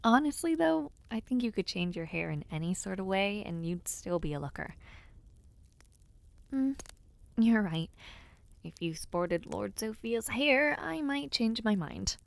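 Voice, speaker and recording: A woman playing an affectionate young noblewoman in an intimate audio roleplay, speaking softly and breathily to the listener with hesitant sighs and murmurs. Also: medium pitch, animated, measured pace, gravelly. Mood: affectionate, playful. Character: affectionate young noblewoman, playful but nervous before her debut.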